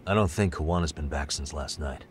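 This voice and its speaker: Low-voiced, composed male detective. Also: fairly quick, slight background noise. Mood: serious, analytical. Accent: American. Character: sharp, composed male detective investigating a case. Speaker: video game voice actor. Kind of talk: English dub game cutscene dialogue.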